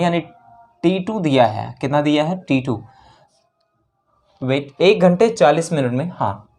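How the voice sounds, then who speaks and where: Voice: medium pitch, a little mellow. A maths teacher working through exam problems step by step, asking questions and answering them, repeating key figures and mixing in English terms.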